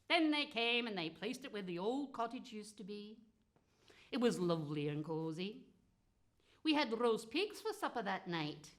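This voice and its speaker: A woman's voice: mid-range voice, animated, measured pace, long pauses, close to an everyday voice. A woman playing a spiteful, gleeful, foul-mouthed witch who tells comic fairy tales in a theatrical, cackling manner. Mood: gleeful, mischievous. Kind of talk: comic storytelling monologue.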